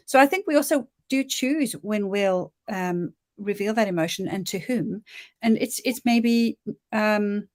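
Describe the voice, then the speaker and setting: A woman's voice: medium pitch, a little slow, a little mellow. A woman guest on a panel discussion, speaking in an earnest, reflective way with some restarts.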